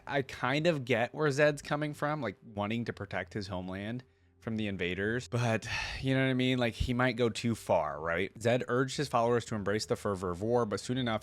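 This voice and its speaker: A man hosting a reaction video, mixing casual, think-out-loud commentary with reading aloud. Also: lowish voice.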